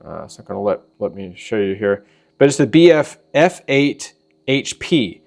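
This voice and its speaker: A man hosting a casual product walkthrough, talking in an informal, halting and rambling way. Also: fairly low pitch, lively intonation, vocal fry, a little mellow, soft background music. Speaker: host of a preparedness channel.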